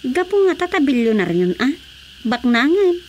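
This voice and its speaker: A woman's voice: sits high, very animated, normal speed, a little mellow, a little hiss or hum. A woman playing a chatty critic in an Ilocano radio drama, with a Filipino accent. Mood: critical.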